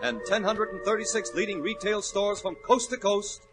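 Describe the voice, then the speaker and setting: A man's voice: sits high, fairly even intonation, normal speed, gravelly, over music. An American man announcing a 1940s radio show as its host, in a scripted, period broadcast style.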